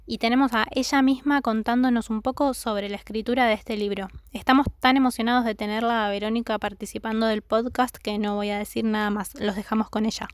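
A woman's voice: fairly high pitch, steady tone, a little fast, hardly any pauses. A woman presenting a book podcast in a prepared, scripted style. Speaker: podcast host.

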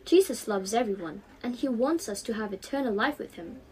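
A woman's voice: fairly high pitch, animated, brisk, darkish tone, close to an everyday voice, rough recording. A teenage girl voicing a kind, devout young schoolgirl in a storybook, earnest and emphatic.

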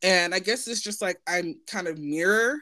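A woman's voice: on the low side, normal speed, pushed and bright, no echo, studio quality. Informal, candid woman in casual chat. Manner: informal, candid, conversational asides seeking agreement. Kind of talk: casual personal conversation.

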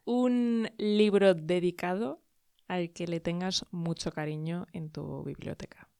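Spanish woman host, slightly low voice, short encouraging prompts, dry close sound. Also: fairly even intonation, slow, creaky, mellow, smooth, no echo. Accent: Spain Spanish. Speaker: podcast host. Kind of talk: interview questions and short backchannels.

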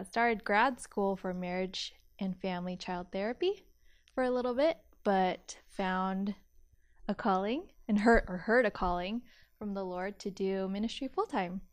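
A woman in her thirties from California talks as a podcast guest. She is bubbly and quick to laugh. Her sentences wander with plenty of fillers. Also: medium pitch, clear, resonant tone. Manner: bubbly, giggly, filler-heavy. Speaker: guest, youth minister. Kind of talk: casual interview.

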